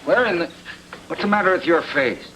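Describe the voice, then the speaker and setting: A man's voice: fairly high pitch, animated, brisk, natural voice. A man playing a tense, defensive husband demanding answers in a film noir radio drama. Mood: indignant, suspicious. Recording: noisy vintage radio recording.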